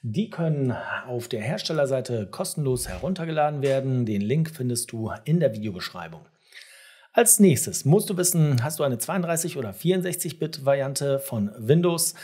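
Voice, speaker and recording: Male tutorial host, forties, mid-pitched and a little rough-edged, direct and instructive, explaining step by step. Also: expressive, moderate pace, warm tone. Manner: direct, instructive, addressing the viewer. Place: small home studio room, indoors.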